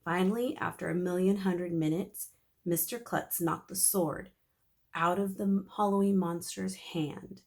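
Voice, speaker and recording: Woman teacher reading a kids' book aloud, lowish gravelly voice, very expressive swoops. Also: unhurried. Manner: expressive, animated storytelling.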